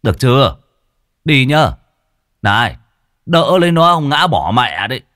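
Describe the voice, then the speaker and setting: A man voicing a gruff, comic older village neighbour who grumbles bluntly and crudely in an audio story. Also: medium pitch, big pitch swings, unhurried, a bit bright, dry recording, studio quality, voice pushed up. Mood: gruff urging.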